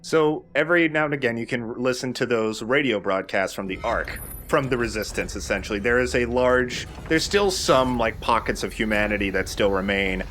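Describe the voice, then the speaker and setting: A man's voice: medium pitch, normal speed, hardly any pauses, fairly bright tone. A man giving casual, enthusiastic gameplay commentary as a let's play host, with some casual swearing.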